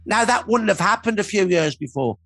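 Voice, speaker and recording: Man, raspy fairly high voice, earnest historian, emphatic explaining, documentary interview, faint music underneath. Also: lively intonation, a little fast, a bit bright. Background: background music.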